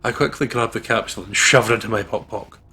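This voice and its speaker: A man's voice: lowish voice, quick pace, sharp tone, husky. A man hosting a let's play, reading visual novel narration aloud dramatically.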